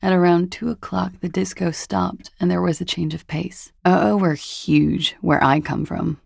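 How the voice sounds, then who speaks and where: An actress playing a cool, guarded young woman in a video game, with a husky, breathy, gravelly voice and a languid, dryly understated delivery. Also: on the low side, flat delivery, moderate pace, dry recording, heavily acted. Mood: cool, matter-of-fact. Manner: languid, dry, wryly understated. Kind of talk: video game character dialogue.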